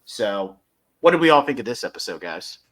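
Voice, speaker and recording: Bright, sharp-voiced man hosting a podcast. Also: on the low side, big pitch swings, fairly quick. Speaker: podcast host. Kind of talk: casual episode review commentary.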